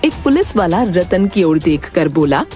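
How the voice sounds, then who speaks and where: A woman's voice: on the low side, very animated, unhurried, dark tone. Woman narrating stories over faint music. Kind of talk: scripted storytelling narration.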